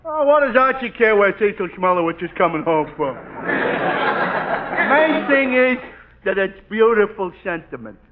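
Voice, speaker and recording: An actor playing a dim-witted, cheerful tavern regular in a 1940s radio sitcom, talking in a slow, dopey drawl. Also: male voice, sits high, big pitch swings, over a noisy crowd, very noisy. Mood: cheery, dopey. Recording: tinny old radio broadcast, live studio audience.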